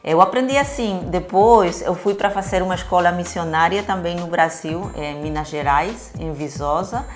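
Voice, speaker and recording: A woman's voice: lowish voice, moderate pace, few pauses, soft background music. A woman from Peru speaking Portuguese with a Spanish accent, explaining things conversationally as an interviewed missionary and often correcting herself. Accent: Spanish-accented Portuguese, Peruvian.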